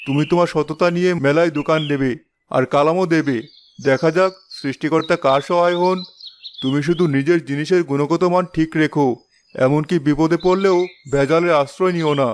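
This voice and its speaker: A man's voice: fairly high pitch, steady tone, fairly quick, a little hiss or hum, close to an everyday voice. A man playing a humble, honest villager in a cartoon moral tale. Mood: earnest. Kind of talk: cartoon story dubbing.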